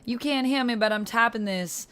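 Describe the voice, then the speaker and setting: A woman's voice: fairly high pitch, moderate pace, a bit bright, clear, resonant tone. A woman hosting a livestream, chatting casually with viewers in a put-on Georgia-style Southern US accent. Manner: chatty, addresses viewers by name. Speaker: livestream host.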